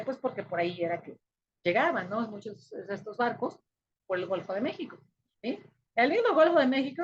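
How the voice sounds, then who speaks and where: A woman's voice: on the high side, slight background noise. A woman with a Mexican accent teaching a recorded lesson. She explains step by step, with many fillers and frequent check-ins with the listener. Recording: dull lo-fi recording.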